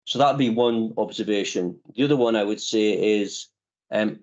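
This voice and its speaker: Measured, reflective middle-aged man, low voice. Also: darkish tone, dull audio. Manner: measured, reflective, many "um" pauses. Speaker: company chief executive guest. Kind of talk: podcast interview answers.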